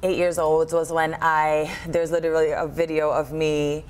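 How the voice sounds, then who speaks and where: A woman in her thirties speaks as a guest on a daytime TV show. Her voice sits a little low. She talks casually and with animation, in an informal, conversational way, close-miked in a studio. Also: little pitch movement, moderate pace, smooth. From Trinidad and Tobago and Buffalo, USA. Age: 30s. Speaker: guest, limbo performer. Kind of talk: casual TV interview. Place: TV studio kitchen set, indoors. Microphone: lapel mic.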